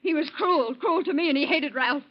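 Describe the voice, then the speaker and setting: A woman's voice: high voice, fairly quick, lightly acted. A woman playing an anguished, defiant mother in a melodramatic 1940s radio drama style. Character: anguished mother defiantly confessing to killing cruel husband. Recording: dull old 1940s radio recording.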